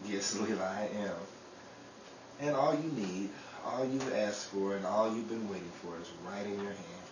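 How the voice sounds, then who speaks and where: A man's voice: lowish voice, moderate pace, natural voice. A man playing a courteous, plain-spoken and mysterious stranger in an old-time radio drama. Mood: gentle, knowing. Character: polite, mysterious stranger, calm and apologetic. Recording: roomy, distant mic, clear noise.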